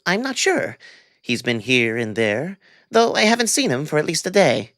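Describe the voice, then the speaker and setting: Man voicing a highly animated, prying alien cat man. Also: normal speed, dry recording, clean studio sound. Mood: evasive, puzzled.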